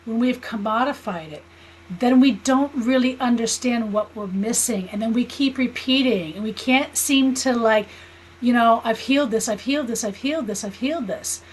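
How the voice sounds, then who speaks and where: A woman's voice: on the high side, normal speed, a little hiss or hum, low-quality recording. American woman in her fifties, earnest explainer. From United States. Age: 50s. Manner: earnest, explanatory, seeks agreement with "right?". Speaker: guest, spiritual healer and teacher. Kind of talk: conversational podcast interview.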